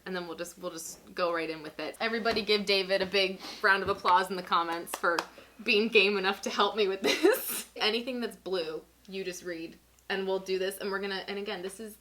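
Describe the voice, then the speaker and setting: A woman in her twenties hosting a casual comedic vlog, giggly, animated and self-mocking, sometimes mock-solemn as she reads aloud. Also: mid-range voice, a little fast, slight background noise. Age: 20s. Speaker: vlog host reading own teenage writing. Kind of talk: casual comedic vlog chat with dramatic reading.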